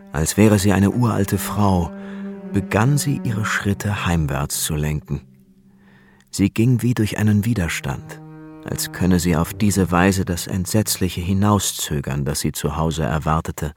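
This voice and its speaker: A man's voice: low-pitched, slow pace, music in the background. A man giving dramatic scripted narration for a radio play.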